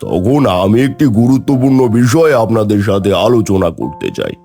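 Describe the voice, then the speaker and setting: Man playing a mellow formal cartoon headmaster. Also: fairly low pitch, very animated, normal speed, creaky, soft background music. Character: formal school headmaster chairing a staff meeting. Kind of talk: cartoon story dialogue.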